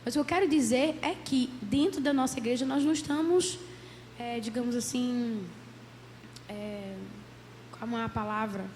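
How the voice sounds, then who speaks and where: A hoarse woman with a cold, a psychologist giving an earnest church talk and often asking "né?". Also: on the high side, steady tone, moderate pace, darkish tone. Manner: earnest, many "né?" tags. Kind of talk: church lecture. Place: church hall. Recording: roomy hall with faint background noise.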